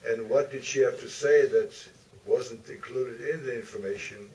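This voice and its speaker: A man's voice: medium pitch, normal speed, warm tone. A man in an audience putting a question to the panel at a live Q&A. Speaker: audience member asking a question. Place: theater auditorium. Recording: distant theater mic, faint noise.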